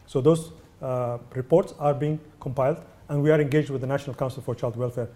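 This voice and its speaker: A man from Sudan, a UNICEF representative, answering press questions in a measured way with many hesitations. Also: medium pitch, fairly even intonation, mellow. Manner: measured, many ums and uhs, restarts. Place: indoor venue.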